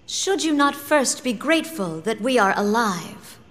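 In a reverberant hall, a haughty, mature noblewoman and mother. She speaks slowly with sweeping intonation, in English game-dub style. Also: fairly high pitch, lively intonation, fairly bright tone. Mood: reproving.

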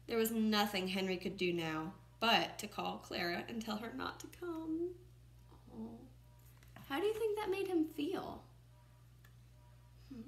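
A young woman with a bright, slightly high voice reads a children's picture book aloud. She is expressive and teacherly, stepping out of the story to comment or put questions to young listeners. The room she records in is audibly echoey. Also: creaky. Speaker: first-grade teacher reading aloud. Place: small room at home, indoors by a window. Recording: roomy, echoing home recording.

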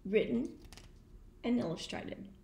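A woman's voice: slow pace, mellow. A middle-aged woman, a teacher giving read-aloud tips to camera. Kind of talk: instructional talk to camera. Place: home kitchen and dining room. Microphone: camera at table distance. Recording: roomy echo, faint background noise.

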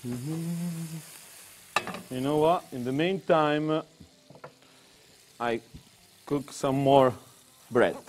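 A man's voice: on the high side, extremely slow, pauses often, a little mellow, a little hiss or hum. Chatty Italian-accented man cooking. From Tuscany, Italy. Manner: chatty, broken English mixed with Italian interjections. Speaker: chef and cooking demonstrator. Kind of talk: live cooking demonstration. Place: kitchen demonstration set.

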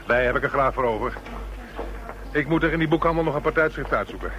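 An actor playing a brisk, homely bachelor policeman in a radio drama, with an animated, informal manner. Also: mid-range voice, natural voice. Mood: homely, cheerful. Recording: dull, noisy radio drama recording.

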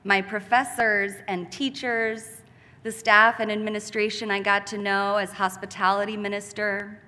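A woman in her thirties giving a graduate's commencement speech at a podium, slow, heartfelt and reflective. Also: mid-range voice, little pitch movement, pushed and bright. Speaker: graduating student speaker. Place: large church sanctuary at a podium. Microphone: podium mic, far camera. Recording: long hall reverb.

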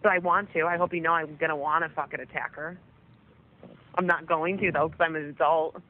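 A woman's voice: deep voice, fairly even intonation, brisk. A woman chatting casually on a phone call, often swearing as she talks. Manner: frequent swearing. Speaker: caller on a jail phone call. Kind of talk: casual chat. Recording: noisy narrowband telephone line.

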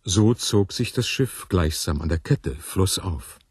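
A man reading a literary childhood recollection for radio in a musing, measured way. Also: sits low, expressive, breathy edge, no room sound, studio quality, heavily acted.

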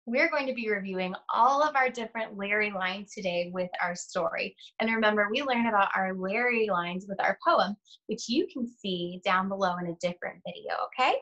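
Bright-voiced young woman teaching little kids. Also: mid-range voice, normal speed, dull audio. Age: young adult. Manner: addresses young children directly with simple questions and prompts. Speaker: elementary art teacher. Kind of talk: children's read-aloud lesson.

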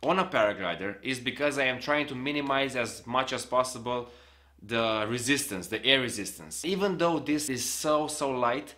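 Young man, bright tense voice, casual tutorial, small home room, desk camera. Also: medium pitch, normal speed. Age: young adult. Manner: explains hands-on, demonstrating gear while talking. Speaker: vlogger. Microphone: camera on a desk, facing him.

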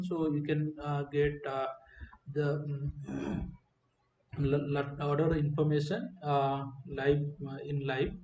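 A man giving an unscripted screen-recording walkthrough as a software demonstrator, speaking in a halting, hesitant way. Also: on the high side. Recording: muffled, roomy, faint background noise.

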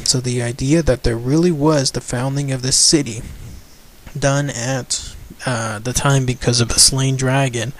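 A man, the channel's host, casually narrating a legend over photos in an enthusiastic, rambling way with frequent fillers. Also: medium pitch.

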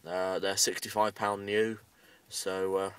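A British man with a fairly low voice talks casually in a parked car. His delivery is very flat and rambles along in a matter-of-fact way. The microphone sits a little away from him. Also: slow, clear, resonant tone, far from the mic. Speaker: amateur radio hobbyist making a video update. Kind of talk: casual vlog update.

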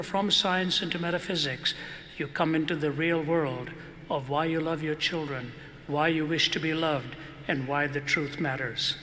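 An older man on stage in a large arena, giving a measured, emphatic answer to an audience question with a handheld mic. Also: a little high, bright, forceful tone. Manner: measured, emphatic, quotes at length. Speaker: speaker. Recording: long hall reverb with faint noise.

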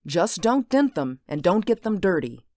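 Woman playing an animated, indulgent radio-play mother. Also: medium pitch, moderate pace, dry recording, studio quality, close to an everyday voice. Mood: amused, indulgent. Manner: motherly, gently chiding, humoring. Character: amused, indulgent mother humoring her young daughter. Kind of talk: radio drama acting.

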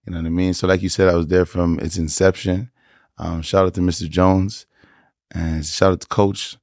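A Toronto-accented man speaks in a very low voice, relaxed and animated, dropping slang as he tells his story. The sound is dry and close, with no room around him. He is a guest on a podcast chat. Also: normal speed, no room sound. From Canada. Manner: laid-back, animated storytelling with slang asides. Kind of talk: casual interview chat.

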